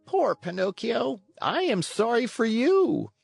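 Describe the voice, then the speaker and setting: A narrator voicing a tiny, wise old male cricket in a storybook, with a calm, preachy manner. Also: mid-range voice, slow, creaky, breathy edge, no room sound, soft background music, pitched above the performer's own voice, full character voice. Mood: sorrowful.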